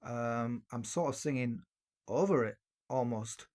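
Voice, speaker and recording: A man's voice: medium pitch, a little slow, darkish tone. A man talking casually through a tutorial into a tilted handheld dynamic mic, rambling with many fillers and restarts. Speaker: video host giving singing mic tips.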